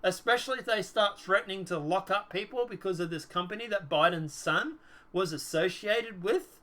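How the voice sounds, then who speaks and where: Bright, high-voiced man vlogging politics. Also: normal speed. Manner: dismissive, trails off with "blah blah". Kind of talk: casual commentary reading from a document.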